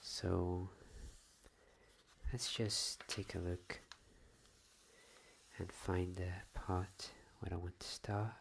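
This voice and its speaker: A man hosting a soft-spoken ASMR bedtime story reading, hushed and often drifting toward a whisper. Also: low voice, flat delivery, very slow, gravelly, long pauses, slight background noise.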